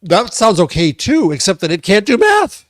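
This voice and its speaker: A man's voice: high voice, wildly swooping pitch, normal speed, no room sound, clean studio sound. A man with an American accent co-hosting a tech podcast, casually reasoning aloud and offering his opinions. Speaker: podcast co-host.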